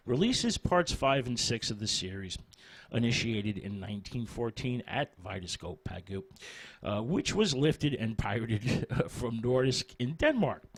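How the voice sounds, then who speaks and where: A man's voice: mid-range voice, slightly rough. Man with swooping, emphatic radio delivery. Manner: hesitant, frequent ums and repeated words. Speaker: radio show host. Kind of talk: film history talk for radio, half-scripted.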